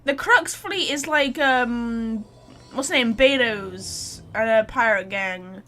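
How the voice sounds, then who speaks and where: A woman's voice: on the high side, takes it slowly, sharp tone, faint music behind. A woman doing casual livestream game commentary, blunt, joking and given to swearing. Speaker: game streamer.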